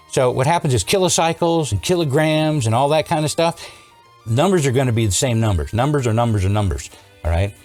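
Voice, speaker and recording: A man giving a casual hands-on repair tutorial, explaining and thinking aloud as he goes. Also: medium pitch. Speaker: vintage electronics repair host.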